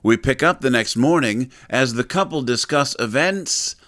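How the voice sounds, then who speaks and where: A man's voice: mid-range voice, animated, a little slow, fairly bright tone. A man giving scripted narration as the narrator of an audio drama. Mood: brisk, informative. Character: male narrator recapping a domestic audio drama.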